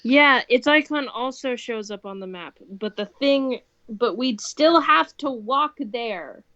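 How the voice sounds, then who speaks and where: A woman's voice: fairly high pitch, animated, takes it slowly, sharp tone, no echo, muffled recording. A young woman streaming a game, chatting casually and exclaiming readily as she reacts to play. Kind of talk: casual co-op gameplay chat.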